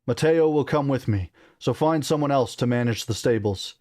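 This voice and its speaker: A man's voice: mid-range voice, moderate pace, darkish tone, no room sound, clean studio sound, lightly acted. Man reading as a defiant young prince. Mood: resolute, defiant. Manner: firm, earnest. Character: defiant, honorable young prince, resolute son.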